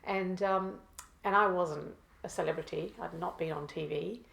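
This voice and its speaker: Guest: woman from Malawi, low gravelly voice, halting delivery, echoey room. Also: a bit bright. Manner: hesitant, many pauses and restarts. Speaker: guest, cookbook author. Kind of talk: conversational interview answers.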